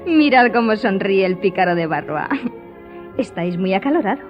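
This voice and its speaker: A woman's voice: mid-range voice, lively intonation, normal speed, natural voice. An actress playing a devoted, determined young lady in love in a radio drama. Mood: amused. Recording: slightly dull, music behind.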